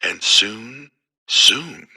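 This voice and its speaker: Tormented male inmate, low voice with a hard, forceful edge, bitter, menacing game monologue. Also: expressive, vocal fry, husky, exaggerated voice. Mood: vengeful, menacing. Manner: halting, bitter, intense.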